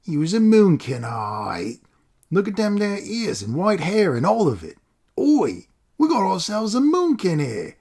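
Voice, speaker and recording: A man's voice: high voice, huge pitch swings, a little slow, a little mellow, voice pushed up, exaggerated voice. A man voicing a grubby, greedy male street driver who bullies a boy, in a coarse, jeering manner.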